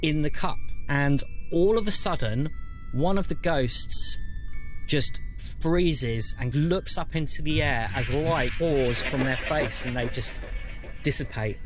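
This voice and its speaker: A man's voice: on the high side, slow pace, faint music behind, rough recording. A man narrating a tabletop roleplaying game as game master.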